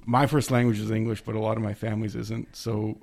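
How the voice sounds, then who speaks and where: Gravelly-voiced man, hesitant and joking. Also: lowish voice, flat delivery, brisk, darkish tone. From Italian immigrant family background. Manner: hesitant, self-correcting, laughs at himself. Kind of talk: casual discussion. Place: remote call.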